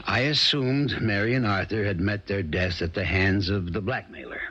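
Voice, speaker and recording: An actor playing a plain-spoken small-town sheriff in a 1970s radio drama, folksy and unhurried. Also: male voice, on the low side, a bit bright, slightly rough. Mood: measured, insistent. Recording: dull vintage radio recording, music behind.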